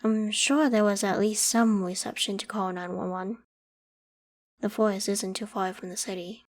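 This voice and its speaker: A woman voicing a polite, protective young female werewolf with a secret, in a gentle, reassuring ASMR roleplay. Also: mid-range voice, steady tone, moderate pace, close to an everyday voice. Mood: caring, inquisitive. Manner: gentle, reassuring, hesitant when evasive. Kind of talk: ASMR roleplay, acted one-sided conversation.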